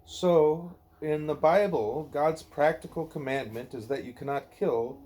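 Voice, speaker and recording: A man reading a devotional dialogue aloud in measured, deliberate phrases. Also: a little high, darkish tone. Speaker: reader of a devotional book; parent reading aloud at a child's bedtime.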